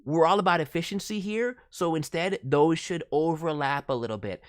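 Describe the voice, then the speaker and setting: Low-voiced woman giving coaching critique. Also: normal speed. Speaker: voice director reviewing demo reels. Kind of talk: casual spoken critique with advice.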